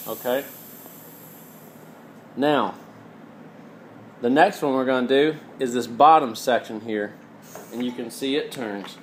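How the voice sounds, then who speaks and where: A man's voice: medium pitch, takes it slowly, long pauses. Man, DIY mechanic, plainspoken step-by-step demonstration, roomy echo, noisy background. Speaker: DIY mechanic giving a tutorial. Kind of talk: informal hands-on demonstration.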